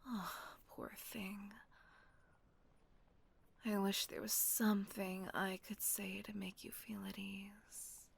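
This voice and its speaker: A woman voicing a gentle, protective female vampire caretaker in a whispered audio roleplay, soothing and coaxing. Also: medium pitch, flat delivery, slow pace, long pauses, exaggerated voice. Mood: tender whisper. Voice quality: soft breathy whisper.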